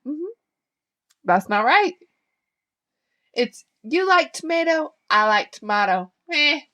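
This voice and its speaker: A woman's voice: high voice, sing-song, slow pace, pushed and bright. Giggly tipsy woman podcast co-host. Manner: playful, giggly, teasing. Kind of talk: casual chat.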